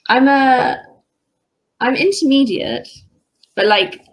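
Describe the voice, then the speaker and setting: A woman's voice: high-pitched, animated, slow, rough recording. A woman teaching English over a video call, chatting casually and encouraging her student with short replies.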